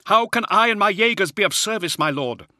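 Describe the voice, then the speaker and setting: A wiry army colonel, as a male narrator puts him on, speaks high above his own range in a loud, strained way. The tone is bright and tense, with a lively rise and fall. He sounds like a hard-pressed officer in an audiobook. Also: a little fast, no room sound, clean studio sound, exaggerated voice. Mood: urgent. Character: urgent male soldier colonel, wiry, shouting.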